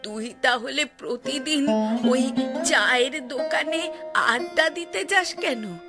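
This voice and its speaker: A woman's voice: high-pitched, takes it slowly, over loud music. A woman voicing an exasperated, ageing village mother in a cartoon, nagging and sarcastic. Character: exasperated ageing village mother scolding her idle son. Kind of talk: cartoon voice acting.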